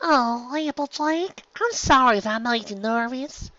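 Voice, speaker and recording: Guy doing a little girl pony, country kid, upset, way up high, storybook style. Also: expressive, unhurried, sharp tone, dry recording, dull audio, exaggerated voice. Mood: apologetic.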